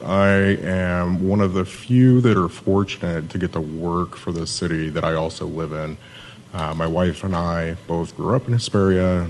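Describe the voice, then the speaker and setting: A very deep-voiced man delivers a city pitch in an even, monotone way, dropping small fillers as he goes. A faint hum of ambience sits behind him. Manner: self-described monotone, steady with fillers. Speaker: economic development manager. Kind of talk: conference pitch presentation.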